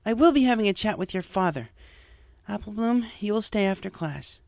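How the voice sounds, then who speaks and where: A man's voice: high voice, expressive, fairly quick, creaky, dry recording, clean studio sound, pitched above the performer's own voice. A man voicing a stern adult female pony schoolteacher in a storybook reading, firmly scolding. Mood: firm, disappointed.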